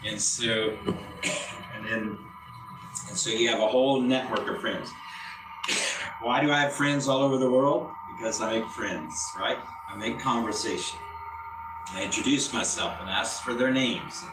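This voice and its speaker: A man's voice: medium pitch, measured pace, long pauses, over music. A man teaching a classroom lesson, speaking plainly and repeating himself as he drills his students. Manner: repetitive, drills students with call-and-response. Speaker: English teacher. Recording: echoey classroom from far off, noisy.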